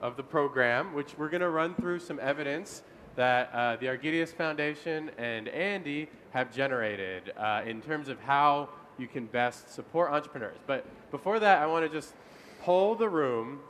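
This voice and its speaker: A man facilitating a live workshop session, upbeat and quick with asides as he polls the room. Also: a little high, fairly bright tone, a little hiss or hum. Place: conference room.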